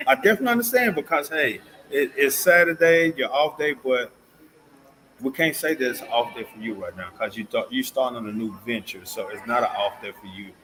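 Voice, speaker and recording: A man hosting an interview, slightly hoarse, upbeat and stumbling over words. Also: mid-range voice, a little fast, a bit bright, rough recording. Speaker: host.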